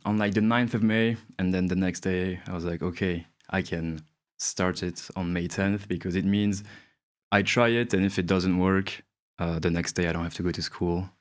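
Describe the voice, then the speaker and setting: A young man from Belgium and Chile answers interview questions in a very low voice. He talks casually, with lots of fillers and small laughs. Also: brisk. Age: 20s. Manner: relaxed, giggly, many fillers. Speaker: guest.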